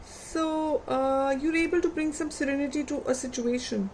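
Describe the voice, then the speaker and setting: High, gravelly woman reading tarot. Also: fairly even intonation, a little mellow, slight background noise. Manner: unhurried, reflective, asks viewers questions. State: losing her voice. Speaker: tarot reader. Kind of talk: tarot card reading to viewers.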